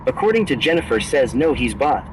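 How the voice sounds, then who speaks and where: A male text-to-speech voice reading out viewer chat messages in a flat synthetic delivery. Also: mid-range voice, brisk, noisy background.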